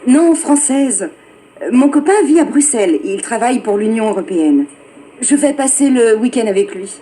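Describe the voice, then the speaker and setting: A woman's voice: on the high side, animated, normal speed, dark tone, natural voice, poor audio. An actress playing a friendly young Frenchwoman chatting on a train in a scripted language-course dialogue. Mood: friendly, chatty. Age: young adult. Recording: faint background noise and ambience.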